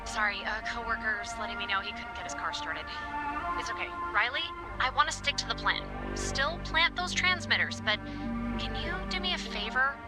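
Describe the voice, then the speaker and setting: A woman's voice: a little high, moderate pace, loud music behind the voice, natural voice. An actress playing an earnest, businesslike female emergency dispatcher in a video game, talking in radio protocol. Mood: concerned, reassuring. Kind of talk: naturalistic video game dialogue over walkie-talkie.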